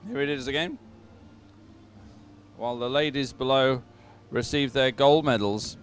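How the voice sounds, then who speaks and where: A man presenting live sports commentary, pausing as he goes and adding upbeat, sentimental asides. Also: medium pitch, steady tone, faint music behind. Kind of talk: live commentary on a dragon boat regatta.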